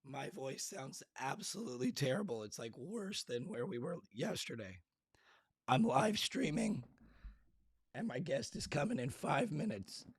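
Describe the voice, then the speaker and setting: A man hosting a live stream, his voice hoarse and raspy, talking low and clearing his throat, making fun of how he sounds. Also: very animated, a little slow, dry recording. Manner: self-deprecating, frequent throat clearing. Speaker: show host. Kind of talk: casual live-stream chat.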